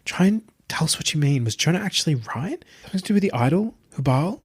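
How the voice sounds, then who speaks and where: A male reader plays a young woman in an audio story. The voice is lifted in pitch. She coaxes gently, with concern and short questions. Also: animated, quick pace, slightly rough, no room sound, studio quality. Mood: coaxing, worried.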